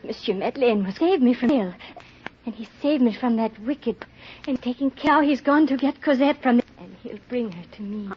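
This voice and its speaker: A woman's voice: a little high, expressive, normal speed, lightly acted. An actress playing a fragile, yearning young sick mother in a 1930s radio drama, breathless and emotional. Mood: wistful. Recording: dull noisy 1930s radio recording.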